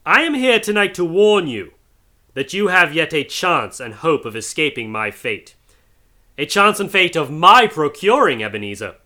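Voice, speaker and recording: A man voicing an anguished male ghost in chains, declaiming in a wailing, drawn-out way. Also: fairly high pitch, very animated, sharp tone, pitched above the performer's own voice. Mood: solemn. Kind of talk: dramatic audiobook reading.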